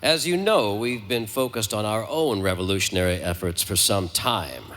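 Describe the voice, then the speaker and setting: An actor playing a formal, earnest resistance leader in a live audio drama, eloquent and measured. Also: male voice, on the low side, lively intonation, a bit bright. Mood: solemn, sincere.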